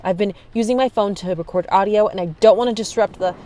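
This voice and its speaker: A woman's voice: medium pitch, fast talker, warm tone, slight background noise, natural voice. An actress playing a dogged, tense female investigative journalist under threat in an audio drama. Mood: urgent.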